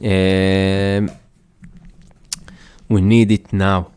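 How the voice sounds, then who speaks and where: A man with an Arabic accent co-hosts a casual podcast in halting English. He speaks at a very slow pace in a smooth, clear voice, hesitating often while he searches for words. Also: low voice, level tone, darkish tone. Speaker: podcast co-host. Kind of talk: casual discussion.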